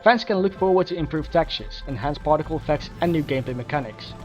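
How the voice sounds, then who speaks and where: A man's voice: on the high side, expressive, darkish tone. A man reading a scripted, formally worded progress report as a mod developer. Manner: formal, measured wording. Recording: dull lo-fi voice recording. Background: faint music.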